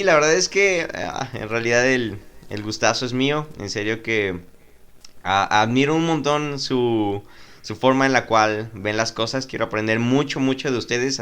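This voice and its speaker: A man hosting an interview, halting and repeating words as he welcomes guests. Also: mid-range voice, a bit bright, clear, resonant tone. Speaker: host.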